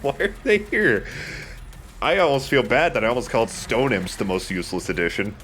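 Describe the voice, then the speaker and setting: A man's voice: mid-range voice, animated, fairly quick, a bit bright, a little hiss or hum. A man giving casual gameplay commentary as a let's play host.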